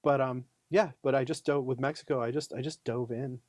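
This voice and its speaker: A man's voice: medium pitch, steady tone, fairly quick, a little mellow, no echo, studio quality. Easygoing man chatting as a podcast guest. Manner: easygoing, chatty, lots of fillers like "you know". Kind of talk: casual conversation.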